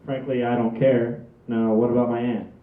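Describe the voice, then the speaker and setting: Comedy read: man as exasperated dry family man, mid-pitched, reluctant, on a thin phone-like recording. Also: little pitch movement, normal speed, lightly acted. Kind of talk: comedy script table read. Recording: muffled phone-like sound, echoey distant room.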